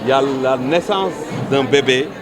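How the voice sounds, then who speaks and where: A man's voice: mid-range voice, moderate pace. Senegalese man speaking over a busy crowd. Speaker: local official. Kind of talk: public statement to press. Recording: busy crowd behind, urban public space.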